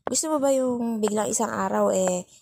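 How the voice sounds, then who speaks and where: A woman's voice: on the high side, normal speed, gravelly, a little mellow, a little hiss or hum. A woman with a Filipino accent playing a bossy but caring, worried young girlfriend who scolds and sulks in an intimate ASMR roleplay. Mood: sulky concern. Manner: scolding, sulky, teasing, repeats herself for emphasis.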